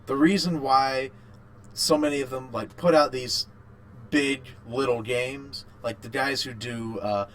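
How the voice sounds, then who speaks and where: A man co-hosting a podcast in casual chat, rambling and haltingly repeating his phrases. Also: mid-range voice. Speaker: podcast co-host. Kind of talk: casual chat about video games.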